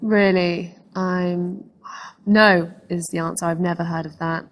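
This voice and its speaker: A woman's voice: on the low side, steady tone, a little slow. A woman co-hosting with casual, opinionated commentary on dating. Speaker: co-host commentator.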